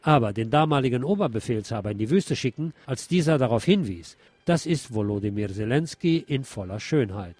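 A man's voice: mid-range voice, expressive, brisk, a little mellow, a little hiss or hum. A man hosting a radio programme, reading press commentary and news aloud. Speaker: radio show host.

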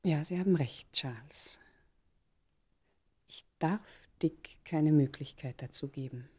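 A woman's voice: low-pitched, takes it slowly, a little mellow, breathy edge, full character voice. An actress in a German radio play playing a resolute, candid mother who speaks emphatically and with formal politeness.

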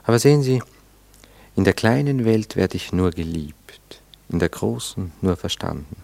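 An actor playing an idle, gallant gentleman flirt in a German radio play, teasing and languidly ironic. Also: low voice, lively intonation, moderate pace, creaky. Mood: playful, ironic.

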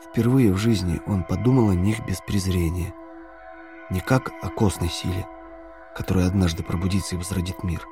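Low-voiced male narrator over music. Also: steady tone, moderate pace. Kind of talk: dramatic scripted narration of a novel.

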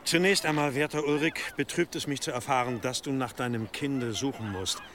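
Casting: male actor as unctuous, authoritative abbot, formal and measured, mid-pitched, faint noise behind. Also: fairly bright tone, lightly acted. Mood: pious, courteous. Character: smooth, evasive, authoritative monastery abbot. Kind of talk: German radio drama acting.

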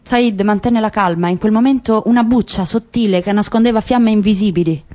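A woman narrating a literary story in a radio drama reading, even and composed. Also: medium pitch, brisk.

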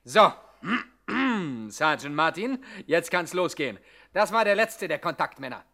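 An actor playing a brisk, ruthless police commissioner in a 1960s German radio drama, giving clipped, authoritative orders. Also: male voice, on the high side, sing-song, vocal fry, bright, forceful tone, full character voice. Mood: stern.

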